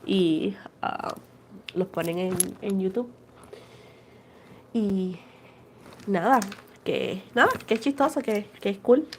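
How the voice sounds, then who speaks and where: A woman's voice: mid-range voice, measured pace, pauses often, slight background noise. A woman with a Puerto Rican accent hosting a casual tarot livestream, chatting with viewers and switching between Spanish and English. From Puerto Rico. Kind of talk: casual livestream chat reading viewer comments.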